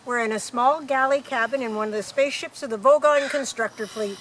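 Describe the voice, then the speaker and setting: A woman's voice: on the high side, brisk, fairly bright tone, a little hiss or hum, close to an everyday voice, low-quality recording. A woman playing a breezy, knowing galactic hitchhiker in a radio play, explaining things in a matter-of-fact way. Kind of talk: amateur radio play acting.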